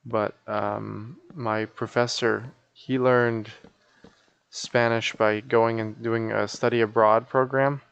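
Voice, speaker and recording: A man's voice: mid-range voice, little pitch movement, slow, creaky, fairly bright tone, smooth, lo-fi sound. A sleepy man chatting casually and in a rambling, folksy way as he live-streams a game.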